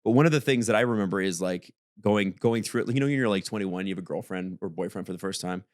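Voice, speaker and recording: A man in his thirties from Canada with a booming voice, co-hosting a podcast in casual, animated chat. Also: quick pace, no echo, clean studio sound. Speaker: podcast co-host.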